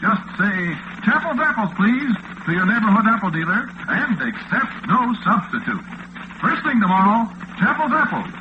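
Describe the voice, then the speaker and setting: An actor playing a folksy, wisecracking late-night disc jockey in an old-time radio drama. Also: male voice, high voice, sing-song, normal speed, background noise. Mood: brisk sales patter. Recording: thin, phone-like vintage radio recording.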